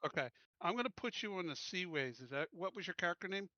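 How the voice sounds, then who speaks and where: Bright-voiced man organizing a game, close and dry. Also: high-pitched, lively intonation, fast talker, no room sound, dull audio. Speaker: player assigning crew stations. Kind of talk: casual tabletop game session over voice chat. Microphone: close computer mic, online voice call.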